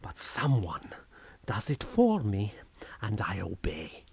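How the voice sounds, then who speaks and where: Haunted Frenchman, feverish, theatrical, formal diction, slow, very animated pitch, audio horror drama. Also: vocal fry, husky, dry recording, full character voice. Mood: despairing, weary. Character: tormented Frenchman, haunted, feverish, slipping into madness.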